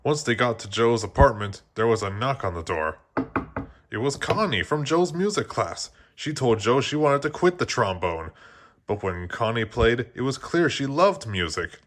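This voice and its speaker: A man's voice: mid-range voice, expressive, moderate pace, fairly bright tone. A man reading a children's storybook aloud as narrator, often stumbling and restarting his phrases. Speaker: storybook reader.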